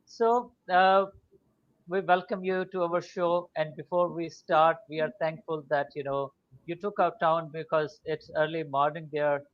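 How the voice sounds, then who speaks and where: A man hosting a live online interview, welcoming his guest politely and filling pauses with "uh". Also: a little high, fairly even intonation, highs cut off. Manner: courteous, many fillers. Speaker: host.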